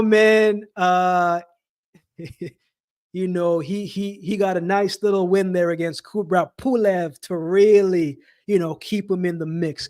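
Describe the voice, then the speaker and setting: A man's voice: sits high, a little mellow, no room sound, clean studio sound. A man from Jamaica and Canada hosting a casual livestream, talking in slang and repeating phrases for emphasis. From Jamaica-born, raised in Canada. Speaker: livestream host. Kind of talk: casual live chat with viewers, boxing commentary.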